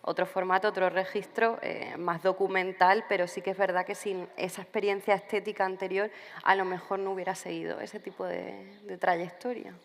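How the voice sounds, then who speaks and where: A woman's voice: medium pitch, flat delivery, normal speed, gravelly, long reverb tail. A woman writer answering interview questions at a literature festival, thinking aloud with frequent restarts. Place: festival venue.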